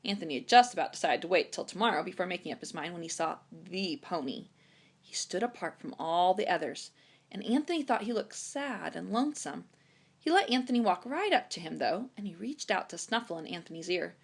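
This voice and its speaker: A woman reading a children's chapter book aloud in an expressive storytime narration style. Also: on the low side, brisk, slightly rough. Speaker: storytime reader.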